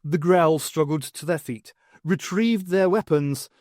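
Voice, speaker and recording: A man narrating scripted fiction for an audiobook in an expressive, theatrical storytelling manner. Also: on the high side, measured pace, no room sound, studio quality.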